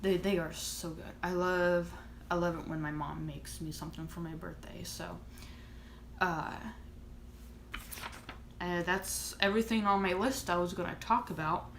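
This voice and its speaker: A woman's voice: fairly low pitch, steady tone, measured pace, long pauses, reverberant, slight background noise. A woman in her thirties chatting casually to camera as a vlogger, rambling and restarting her thoughts. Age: 30s. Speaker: vlogger and author.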